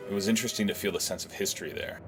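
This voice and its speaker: A man speaking as a film director, giving measured, earnest behind-the-scenes commentary. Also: sits low, steady tone, over loud music.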